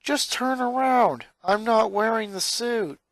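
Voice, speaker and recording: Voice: mid-range voice, slow pace, no echo, voice pushed up. A performer voicing an exasperated eleven-year-old boy from a cartoon fan story, with an indignant, pleading and emphatic delivery.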